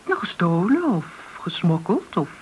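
A woman acting in a radio play as a businessman's confiding friend, tentative and at times soft-spoken. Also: lowish voice, sing-song, unhurried, breathy edge, full character voice. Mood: uneasy, confiding. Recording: dull, noisy old radio recording.

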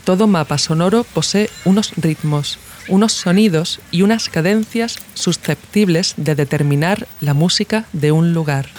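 A woman's voice: lowish voice, normal speed, hardly any pauses, darkish tone, slight background noise. A woman from reading scripted documentary narration as the host of an audio podcast.

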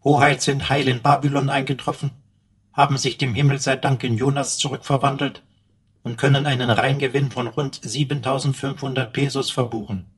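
A man playing a sardonic, servile supercomputer in a radio drama, husky, precise and pompously flattering. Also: mid-range voice, a little fast, close to an everyday voice. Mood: mock-humble. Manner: mock-obsequious, precise, pompous. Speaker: supporting actor. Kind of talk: German radio drama acting.

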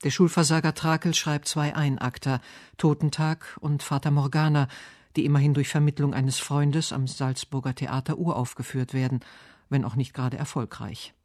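A man's voice: fairly high pitch, flat delivery, moderate pace, darkish tone, dry recording, clean studio sound. A man reading a scripted literary radio essay as its narrator. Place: dry radio studio.

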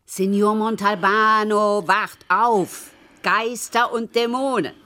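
An actress playing an excitable, pious, nosy housekeeper in a radio crime play, urgent and gossipy. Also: female voice, medium pitch, slow pace. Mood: alarmed. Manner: urgent, gossipy, teasing questions.

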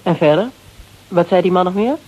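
A woman's voice: lowish voice, a little fast, slightly rough, muffled recording. An actress in a radio play, playing a cool, tough, mocking female interrogator who fires curt, commanding questions. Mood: curt, probing. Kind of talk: Dutch radio play dialogue.

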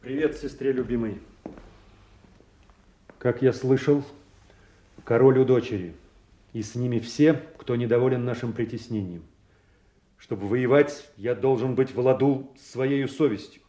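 An actor playing a principled, stately duke and army leader in a classical audio drama, speaking in formal, measured oratory. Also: male voice, medium pitch, warm tone, close to an everyday voice. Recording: roomy, echoing studio sound.

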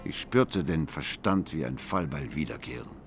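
An actor playing a broken, bitter older man in a German radio drama, speaking gravely and deliberately. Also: a bass voice, animated, faint music behind. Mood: haunted, bleak. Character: broken, bitter older man haunted by ruining his life.

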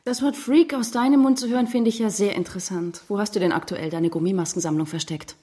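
Icy ambitious wife played by an actress, radio drama: controlled, scornful, medium-pitched, cutting sarcasm. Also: female voice, fast talker, mellow, lightly acted. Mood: icy, threatening. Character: icy, scornful, power-hungry politician's wife. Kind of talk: dramatic German radio play acting.

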